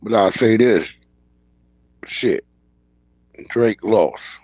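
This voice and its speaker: A man's voice: on the low side, very slow, a little mellow, no echo, poor audio. A man in his forties hosting a livestream, ranting bluntly and in slang about the news of the day. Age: 40s. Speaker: livestream host. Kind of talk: casual commentary livestream. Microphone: streaming mic.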